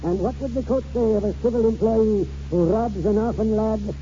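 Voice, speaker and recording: An irreverent, stubborn old grandfather, acted by a man in a 1940s radio drama. The voice is high and very mellow, wry and protective, on a dull broadcast recording. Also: moderate pace, a little hiss or hum, lightly acted. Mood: sly, defiant. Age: older.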